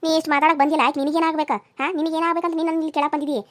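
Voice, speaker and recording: A woman's voice: high voice, flat delivery, racing, lightly acted. A woman voicing a spiteful, domineering older mother-in-law, a melodrama villain with a North Karnataka Kannada accent. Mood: hostile.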